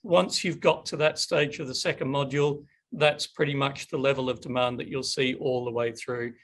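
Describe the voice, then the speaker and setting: Middle-aged man with a gravelly voice. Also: medium pitch, steady tone, normal speed, fairly bright tone. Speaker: webinar host. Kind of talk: casual webinar Q&A. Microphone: video call.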